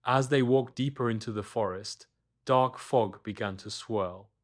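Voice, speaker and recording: Guy narrating a kids bedtime story, takes his time, medium pitch. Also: unhurried.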